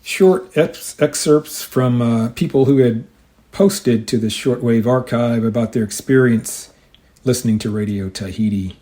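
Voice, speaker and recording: A man hosting a radio hobby show, explaining things informally and a little haltingly in a casual monologue. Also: mid-range voice, dark tone. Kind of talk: casual hobbyist monologue with some reading aloud.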